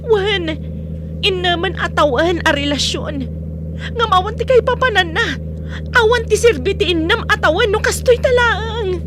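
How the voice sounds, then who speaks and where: A woman's voice: very high voice, lively intonation, normal speed, noisy background. An actress playing a headstrong, hurt young woman in a radio drama. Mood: hurt, insistent.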